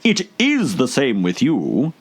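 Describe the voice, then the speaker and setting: A man voicing an eccentric, grumbling storybook gentleman with a slow, quizzical, drawn-out delivery. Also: mid-range voice, wildly swooping pitch, pitched above the performer's own voice, heavily acted.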